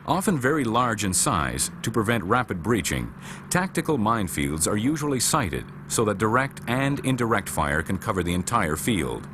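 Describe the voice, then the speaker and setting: A man reading scripted instructional narration for a military training film in a measured, formal, matter-of-fact way. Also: fairly low pitch, big pitch swings, noisy background.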